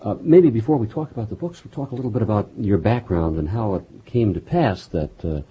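A man in his fifties from Houston hosting an interview, asking questions in a halting way with many fillers. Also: fairly low pitch, expressive, warm tone, breathy edge. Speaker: interview host. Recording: dull lo-fi recording.

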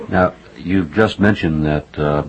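A man interviewing an author, asking his questions in a halting way with repeated words and many "uh"s. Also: rumbling low. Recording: dull lo-fi sound with faint noise.

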